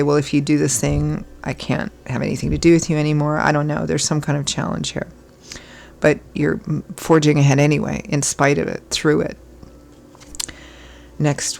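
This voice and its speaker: Hoarse froggy lady doing a chatty tarot reading, gravelly, pretty flat delivery, a little hiss behind. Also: low-pitched. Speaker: tarot reader. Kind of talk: casual astrology reading.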